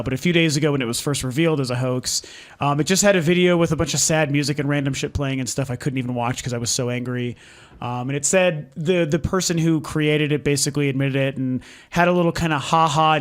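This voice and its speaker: A man hosting a video game vlog, hoarse from losing his voice, talking in a candid, frustrated way and swearing freely. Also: on the high side. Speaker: video game channel host. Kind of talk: casual ranting vlog. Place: lives in Denver.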